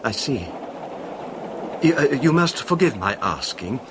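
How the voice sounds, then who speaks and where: Timid little man, soft-spoken, deferential, hesitant, pitch swinging, old radio drama, faint hiss. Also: big pitch swings. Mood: timid, apologetic. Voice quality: soft-spoken, sometimes barely audible. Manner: tentative, deferential, halting, overly polite. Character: timid, nervous, apologetic little man hiding unease. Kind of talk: 1970s radio horror drama acting.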